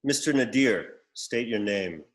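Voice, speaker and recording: An actor playing a stern, deadpan interrogator in a scripted sitcom table read, questioning formally. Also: male voice, lowish voice, measured pace. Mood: stern, probing. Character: stern formal male interrogator running lie-detector questioning.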